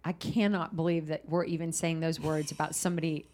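A woman's voice: low-pitched, level tone, brisk, darkish tone, slight background noise. A woman in her fifties hosting a podcast, chatty and encouraging, laughing often with her guests. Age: 50s. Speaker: podcast host. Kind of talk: casual chat.

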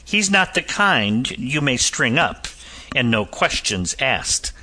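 A man's voice: medium pitch, sing-song, slow pace, bright, forceful tone, voice pushed up, heavily acted. A man voicing a composed physician and former soldier with a dry, cutting wit and a lilt. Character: cool, sardonic Irish physician and ex-soldier, adult man.

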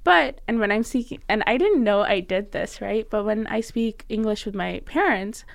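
A young woman co-hosting a casual podcast, animated and quick to laugh, with lots of "like" fillers. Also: medium pitch, creaky, no room sound. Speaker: podcast co-host. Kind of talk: casual chat.

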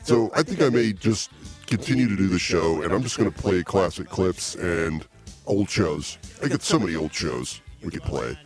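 A man's voice: low-pitched, lively intonation, moderate pace, faint music behind. A man hosting a comedy podcast, laughing and rambling in a self-deprecating way. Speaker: podcast host. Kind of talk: casual comedy podcast chat.

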